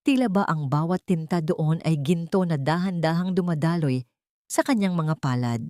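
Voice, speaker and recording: A woman reading a scripted first-person story in a solemn, melodramatic, deliberate manner. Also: deep voice, darkish tone, no echo, clean studio sound.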